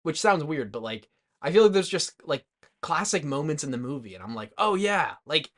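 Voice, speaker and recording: A man's voice: a little high, big pitch swings, moderate pace, fairly bright tone. A man co-hosting a podcast, chatting casually about movies in a loose, hesitant way with lots of "like". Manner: hesitant, loosely phrased, frequent "like". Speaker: podcast co-host.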